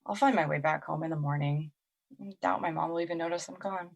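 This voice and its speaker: A woman acts out a hurt, resentful young woman alone in an audio drama. Her lowish voice is full of vocal fry, with a tired, sighing delivery. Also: steady tone, fast, lo-fi sound. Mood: bitter, weary. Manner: sighing, wounded soliloquy. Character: Olivia, resentful, weary, neglected young human woman.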